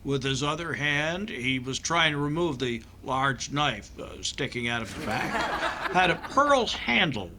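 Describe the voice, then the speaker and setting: An actor playing a nervous, dithering doctor who stammers and hesitates with dry humour, in old-time radio comedy style. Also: male voice, medium pitch, animated, measured pace, bright, forceful tone, slight background noise. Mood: flustered. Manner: hesitant, stammering, dryly comic. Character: nervous, dithering American doctor and husband in comic thriller.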